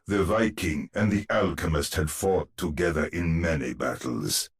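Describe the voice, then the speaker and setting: Deep gravelly, pompous storyteller knight. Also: male voice, exaggerated voice. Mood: ominous, grand. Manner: pompous, dramatic, savoring words. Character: grandiose old storyteller knight narrating a spooky tale. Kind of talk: theatrical gothic storybook narration.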